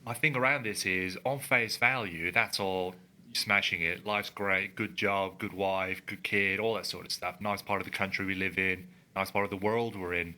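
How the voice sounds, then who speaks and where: Man, podcast co-host, low pitch, very bright forceful tone, earnest, emphatic, studio mic. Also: steady tone, normal speed. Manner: earnest, emphatic, thinking aloud. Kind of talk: casual conversational podcast. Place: studio in a building. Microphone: studio microphone.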